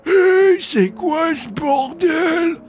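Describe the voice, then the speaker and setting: Un prisonnier cinglé, voix suraiguë. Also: male voice, very high-pitched, expressive, extremely slow, a little hiss or hum, pitched above the performer's own voice, exaggerated voice. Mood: suspicious, excitable. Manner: frantic, whining, drooling eagerness. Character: greedy, manic, dim-witted escaped prisoner henchman. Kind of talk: audio fiction character acting.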